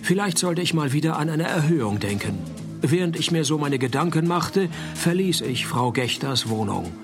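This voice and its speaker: A laconic, sardonic man's voice as a deadpan private eye in a radio play. It sits fairly high for a man, over clearly heard music. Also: moderate pace. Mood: deadpan narrating. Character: wry, deadpan male private detective narrating his case. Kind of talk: noir parody radio play, narration and dialogue.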